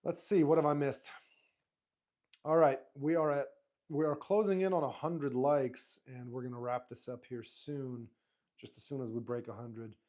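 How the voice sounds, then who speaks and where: A man whose first language is Arabic, hosting a live stream in a relaxed, rambling way, reading viewer comments aloud and answering them. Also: medium pitch, moderate pace. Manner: relaxed, rambling, frequent restarts and fillers.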